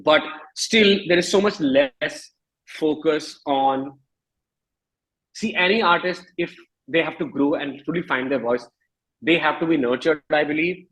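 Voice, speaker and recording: A man with an Indian accent talking candidly as an interview guest, emphatic but halting, often repeating phrases, in a husky voice. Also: fairly high pitch, a bit bright, low-quality recording. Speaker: musician guest.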